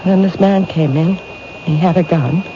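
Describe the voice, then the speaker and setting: A frightened, timid witness, played by a woman with a soft, faltering voice. The old radio broadcast sounds dull and is thick with hiss. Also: fairly low pitch, moderate pace, natural voice. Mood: recounting. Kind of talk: 1970s radio drama acting.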